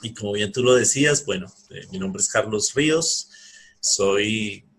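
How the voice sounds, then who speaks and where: A man's voice: on the low side, unhurried, a bit bright, slightly rough. A man with a Bogotá Colombian accent, speaking earnestly and courteously about himself as an interview guest. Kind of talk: personal talk in an interview.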